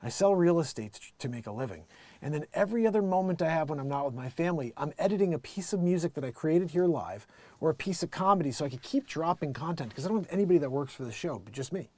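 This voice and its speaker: A middle-aged American man hosting a casual livestream, rambling emphatically and excitedly in an unscripted monologue, often acting out bits of quoted conversation. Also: fairly high pitch, fast, dry recording. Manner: animated, rambling, emphatic, quotes himself and others. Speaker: live music show host and musician.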